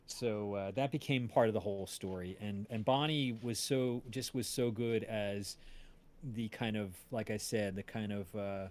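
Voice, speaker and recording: A man's voice: on the low side, measured pace. Rambling male stream host. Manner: rambling, searching for words. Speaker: podcast creator and stream host. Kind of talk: casual livestream chat.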